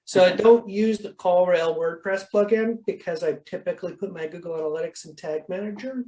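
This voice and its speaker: Instructor, mid-pitched, informal coaching Q&A on a video call, explanatory and conversational. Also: brisk, warm tone, rough recording. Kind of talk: informal question-and-answer coaching.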